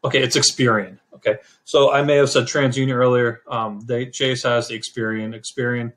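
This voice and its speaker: A middle-aged man hosting a casual screen-share walkthrough, talking in a relaxed, rambling way and correcting himself as he goes. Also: medium pitch. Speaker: channel host explaining credit scores.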